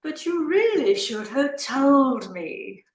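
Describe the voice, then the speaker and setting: A woman's voice: high-pitched, big pitch swings, slow pace, heavily acted. A woman playing a haughty, indignant society lady in a comic play, imperious and theatrical. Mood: haughty, dismissive. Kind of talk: comic stage play reading. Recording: roomy, echoing sound.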